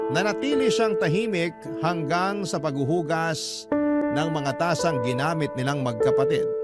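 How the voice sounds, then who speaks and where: Radio drama narrator male: troubled, solemn first-person storytelling, slightly high pitch, over a prominent music bed. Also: lightly acted. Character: troubled letter sender recounting painful family memories. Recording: loud music bed under voice.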